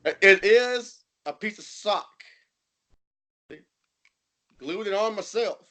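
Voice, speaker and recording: A man's voice: sits high, lively intonation, unhurried, a bit bright. A man voicing a crude, defiant old sock puppet in an improvised podcast bit, swearing and stumbling over his words.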